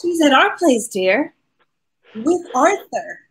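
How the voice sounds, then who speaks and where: A middle-aged actress playing a poised, playful detective's wife in old-time radio mystery comedy. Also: female voice, high-pitched, big pitch swings, slow, fairly bright tone, slight background noise, exaggerated voice. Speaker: actor. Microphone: video call.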